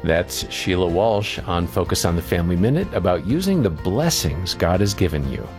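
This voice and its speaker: A male announcer reads a short scripted program tag. His voice is very deep and moves expressively. Music plays clearly underneath. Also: sing-song, normal speed, darkish tone.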